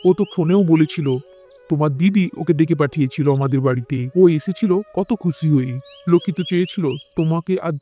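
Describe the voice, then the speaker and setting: A man's voice: a little high, fairly quick, warm tone, natural voice. A man playing a kindly, caring married brother-in-law in a cartoon story. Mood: earnest. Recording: music bed behind the voice.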